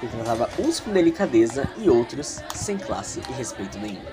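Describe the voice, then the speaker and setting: A man's voice: medium pitch, sing-song, fairly quick, a crowd in the background. A man with a Brazilian accent narrating an audio drama theatrically, with casual, playfully snobbish asides.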